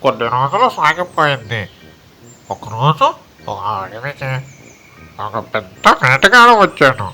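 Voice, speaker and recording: A man's voice: mid-range voice, very animated, measured pace, pauses often, bright, forceful tone, faint music behind. A man narrating a story for children as its storyteller.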